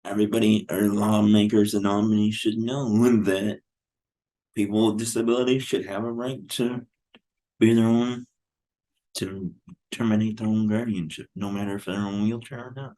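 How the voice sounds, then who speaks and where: Flat, low-voiced man. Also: moderate pace, low-quality recording. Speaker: interviewee. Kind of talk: personal testimony.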